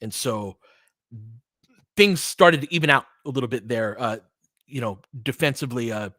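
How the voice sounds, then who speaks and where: A man's voice: mid-range voice, expressive, normal speed, sharp tone, no room sound, clean studio sound. A man giving live basketball play-by-play mixed with casual, long-winded analysis in a conversational, explanatory way.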